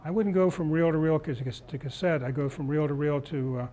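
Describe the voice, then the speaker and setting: Older American man, slightly high-pitched voice. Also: dark tone. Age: 60s. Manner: halting, thinking aloud while demonstrating. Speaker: presenter at a club meeting. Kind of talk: informal demonstration talk. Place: meeting room, indoors.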